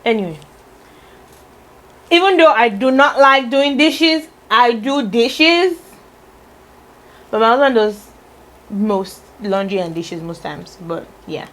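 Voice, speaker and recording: A woman hosting a relaxed video, reading question cards and musing aloud in an easy, teasing way. Her voice sits fairly high. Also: rough recording. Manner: playful, teasing, drawn-out musing. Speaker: vlogger asking tag questions. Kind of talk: casual chat.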